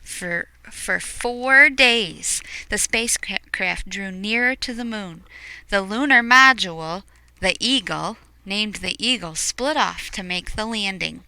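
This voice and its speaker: Bright, clear woman reading a storybook aloud. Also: mid-range voice, takes it slowly, no echo, low-quality recording. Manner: expressive, with hushed asides to young listeners. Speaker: picture book reader. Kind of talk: storybook read-aloud with explanatory asides.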